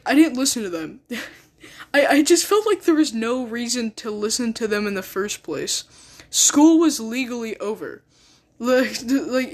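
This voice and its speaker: A teenager chatting through a storytime vlog in a loose, rambling way. The voice sits at an ordinary middle pitch. It loops back on the same phrases and leans hard on key words. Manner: rambling, repetitive, emphatic. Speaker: student storyteller.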